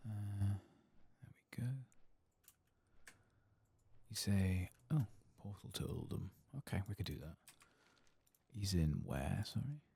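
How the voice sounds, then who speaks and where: A deep-voiced man narrates his video game in a soft ASMR style. His delivery is breathy and crackly, often close to a whisper, and casually murmured. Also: lively intonation, takes it slowly, pauses often. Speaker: ASMR gaming channel host.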